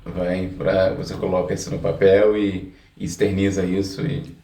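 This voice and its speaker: A Brazilian man hosting a casual interview, halting as he searches for his words. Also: low-pitched, completely flat delivery, creaky, dark tone, slight background noise. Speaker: interviewer, podcast host. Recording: echoey, distant from the microphone.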